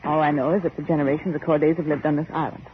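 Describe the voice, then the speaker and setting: An actress playing an anxious, insistent young heiress, urgent and pleading in 1940s radio drama style. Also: female voice, deep voice, little pitch movement, very fast, lightly acted. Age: young adult. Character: anxious, insistent young heiress seeking her family's secret. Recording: tinny, hissy 1940s radio recording.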